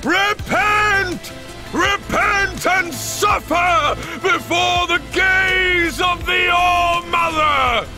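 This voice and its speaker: A man's voice: very high-pitched, very slow, raised voice, over music, exaggerated voice. A man playing a crazed street doomsday preacher in a video game, declaiming theatrically like a fire-and-brimstone zealot. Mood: feverish zeal. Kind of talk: ranting sermon, game acting.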